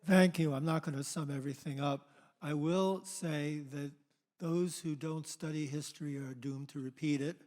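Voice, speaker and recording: A man making a measured, earnest comment from the audience at a public event. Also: a little high, fairly even intonation, darkish tone. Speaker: audience member. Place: large indoor hall at a live event. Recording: echoey hall with long reverb.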